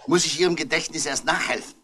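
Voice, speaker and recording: An actor playing a stern, probing police commissioner who questions bluntly in a 1960s German radio crime drama. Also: male voice, on the high side, fast talker, bright, forceful tone.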